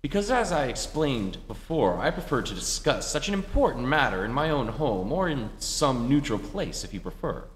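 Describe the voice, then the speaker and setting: A man's voice: medium pitch, very animated, normal speed, hardly any pauses, lightly acted. A man playing an insistent, menacing male blackmailer in an old-time radio play on a theatre stage. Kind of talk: 1940s radio acting. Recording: roomy theatre hall, faint noise.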